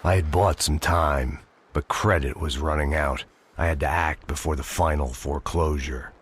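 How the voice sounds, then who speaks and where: A man playing a world-weary, cynical American antihero, narrating a noir video game in a deadpan, sardonic way. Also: very deep voice, moderate pace, slightly rough. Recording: music behind the voice.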